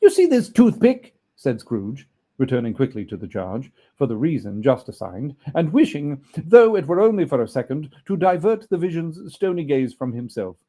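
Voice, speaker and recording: A man reading a classic story aloud as an audiobook narrator, in an expressive, scripted storytelling style. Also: mid-range voice, moderate pace, hardly any pauses. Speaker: audiobook reader.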